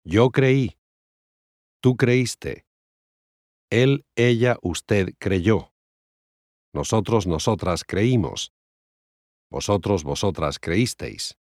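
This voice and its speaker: A man with a lowish voice recites language course drills very slowly. He enunciates each phrase carefully and separately, in a dry, close studio sound. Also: expressive, a little mellow, no echo. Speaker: language course voice reading Spanish examples. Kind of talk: scripted language lesson drill, reciting conjugations.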